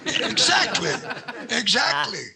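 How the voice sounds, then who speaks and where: A man playing a folksy, stammering old prospector with a raspy, creaky voice in 1940s radio comedy style. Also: very high-pitched, big pitch swings, slow, noisy background, exaggerated voice. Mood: eager.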